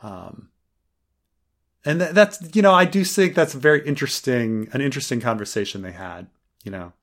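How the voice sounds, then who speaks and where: Rambling podcast co-host, lots of fillers. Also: male voice, mid-range voice, expressive, normal speed, fairly bright tone. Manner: rambling, many fillers and restarts; laughs while joking. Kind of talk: casual review chat.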